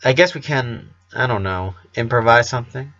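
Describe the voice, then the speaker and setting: A man's voice: mid-range voice, normal speed, bright, forceful tone, highs cut off. A man voicing an earnest, guarded eleven-year-old boy in a story, speaking hesitantly. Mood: unsure.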